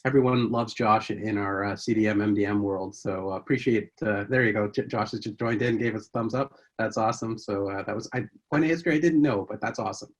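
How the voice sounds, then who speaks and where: A man hosting an online workshop, upbeat with quick interjections. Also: lowish voice, muffled recording. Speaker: workshop host. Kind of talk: casual chat on a video call. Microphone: video call.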